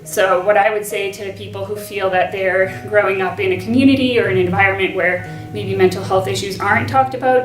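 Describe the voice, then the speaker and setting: A young woman in her twenties speaks earnestly and reflectively, pausing often with soft ums as she shares her own experience. She sits a little away from the mic, so her voice carries a roomy echo, and faint music plays underneath. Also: mid-range voice, far from the mic. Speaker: graduate student. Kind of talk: personal testimony.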